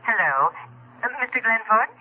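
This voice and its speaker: A woman's voice: a little high, lively intonation, moderate pace. An actress in a 1970s radio drama playing a prim, solicitous society lady with a gushing, genteel manner. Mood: polite. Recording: very narrow tinny telephone and radio sound.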